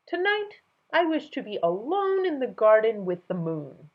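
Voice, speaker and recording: A woman's voice: on the high side, big pitch swings, unhurried, highs cut off, pitched above the performer's own voice, exaggerated voice. A woman voicing a playful, commanding king, an amused and imperious man who speaks with emphatic, regal decisiveness. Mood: imperious, decisive.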